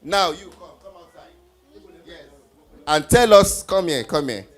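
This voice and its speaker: Slow-paced quiz leader for children. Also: medium pitch, animated. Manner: encouraging, repeats answers back to the group. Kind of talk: live children's Bible trivia quiz. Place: live group event with children before a stage.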